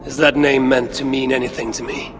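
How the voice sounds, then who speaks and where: A man playing a proud, commanding Norse warrior god in a video game, with gravelly, solemn and imperious speech. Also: mid-range voice, flat delivery, a little fast, crowd noise, natural voice. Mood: stern, commanding. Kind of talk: dramatic video game acting. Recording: reverberant, noisy game cutscene ambience.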